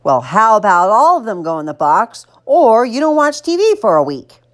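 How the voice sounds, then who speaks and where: A woman's voice: fairly high pitch, sing-song, moderate pace. A woman voicing a firm, exasperated mother who bargains and scolds in a children's storybook.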